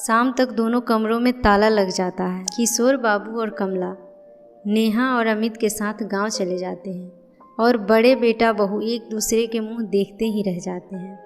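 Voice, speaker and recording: Woman storyteller, mid pitched, scripted family tale narration, bit of music under it. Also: fairly even intonation, measured pace.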